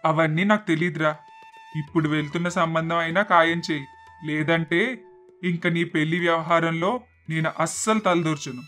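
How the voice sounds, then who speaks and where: A man's voice: a little high, little pitch movement, moderate pace, sharp tone. A man voicing a stern, sarcastic middle-aged father who scolds, in a cartoon moral story. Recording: constant background music.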